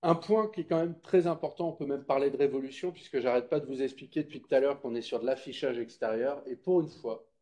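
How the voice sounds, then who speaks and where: A man's voice: medium pitch, fast, hardly any pauses, mellow. A man explaining things at a public meeting in an informal, didactic way, checking that listeners follow. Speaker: presenter at a public consultation meeting. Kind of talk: explanatory public presentation with question-taking.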